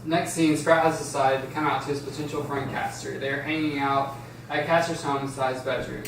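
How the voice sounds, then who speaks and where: A man's voice: a little high, steady tone, fairly quick. A man reading out stage directions as narrator in an amateur table read. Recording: echoey room, distant mic, faint noise.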